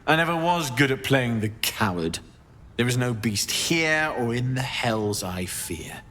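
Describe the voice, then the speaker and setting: A cunning false paladin in a video game, serving an archdevil. He speaks slowly and theatrically at a middling male pitch, with menace underneath, over clearly audible background noise. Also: animated, a bit bright. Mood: cold menace. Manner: feigned fearful pleading turning to cold, deliberate threats. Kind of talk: dramatic video game acting.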